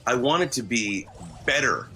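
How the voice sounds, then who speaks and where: A man's voice: medium pitch, animated, normal speed, bright, forceful tone, a little hiss or hum. A middle-aged man giving reflective interview answers as a guest, earnest and wordy with self-mocking humour. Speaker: guest voice actor.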